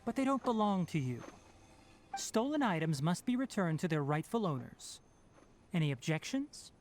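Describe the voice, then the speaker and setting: Young, animated, blunt analytical watcher. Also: male voice, high-pitched, moderate pace, noisy background. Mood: stern. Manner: precise, matter-of-fact, formal. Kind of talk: video game voice acting.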